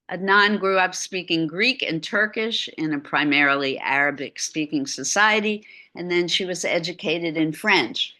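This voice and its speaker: Middle-aged woman, low bright voice, unhurried lecturer on a video call. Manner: slow, chatty asides while lecturing. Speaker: art lecturer. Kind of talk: online art lecture.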